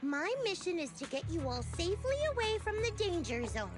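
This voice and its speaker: Very high-pitched, cocky young fighter girl. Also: very animated, a little fast. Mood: breezy, teasing. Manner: cocky, teasing. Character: confident, breezy young female rescue fighter in a game. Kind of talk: video game voice acting. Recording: game music behind the voice.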